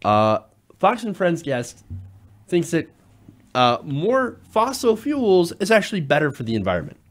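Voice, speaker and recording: A man's voice: a little high, huge pitch swings, unhurried. Nasal-sounding male talk show host. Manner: loose and chatty, many filler "uh"s. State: getting over a cold. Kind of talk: casual political talk show.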